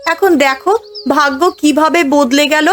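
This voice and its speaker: A woman's voice: high-pitched, steady tone, moderate pace, fairly bright tone, slight background noise. A woman playing a sensible, scolding village wife in a fairy-tale cartoon. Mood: reproachful.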